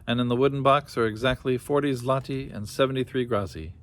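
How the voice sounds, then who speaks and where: A man's voice: medium pitch, fairly quick, close to an everyday voice. A man voicing a sly, accusing male swindler in a story, with a declamatory, self-righteous manner.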